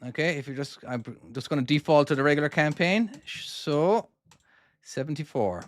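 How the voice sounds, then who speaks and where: A man's voice: fairly high pitch, normal speed, no room sound. A man from Cork with an Irish accent, casually talking through his own trucking game on a live stream and answering viewers' questions. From Ireland (Cork). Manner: casual, talks himself through each maneuver and answers chat questions. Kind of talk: live gameplay commentary.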